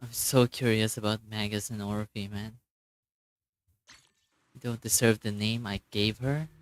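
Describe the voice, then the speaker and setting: A man's voice: lowish voice, steady tone, a little slow, rough recording. A man casually reacting as he streams a game, with muttered exclamations and swearing. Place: indoor streaming setup, game music faintly behind.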